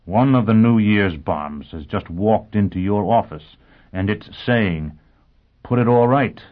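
A man's voice: fairly low pitch, unhurried, pitched above the performer's own voice, lightly acted. A man voicing a grim, bitter criminal tormented by conscience in an audiobook, speaking with grave intensity. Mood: agitated, intense. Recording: narrow phone-like sound.

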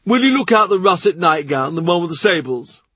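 A man's voice: high-pitched, sing-song, brisk, pushed and bright, husky, dry recording, pitched above the performer's own voice, exaggerated voice. A man voicing a wry courtier who greets visitors with dry, teasing courtesy. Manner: dryly teasing.

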